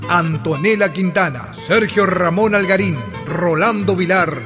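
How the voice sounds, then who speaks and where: A man narrating a radio drama with solemn, theatrical, measured phrasing. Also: high voice. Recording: studio radio drama with music underneath.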